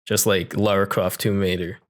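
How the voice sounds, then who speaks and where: A man's voice: low-pitched, little pitch movement, moderate pace. A man co-hosting a podcast, chatting casually about movies in a playful, tongue-in-cheek way. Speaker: podcast co-host.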